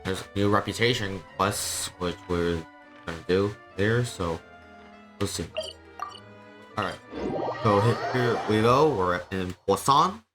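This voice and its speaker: A game streamer giving casual, low-key commentary, thinking aloud. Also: slow, pauses often. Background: game music.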